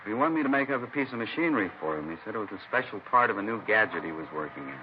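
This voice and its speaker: A wary, seasoned repair-shop machinist with a very low voice. He talks in a folksy, clipped, unhurried way, as in an old radio crime drama. The recording is muffled and noisy. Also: very animated. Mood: wary, musing. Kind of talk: 1950s radio drama acting.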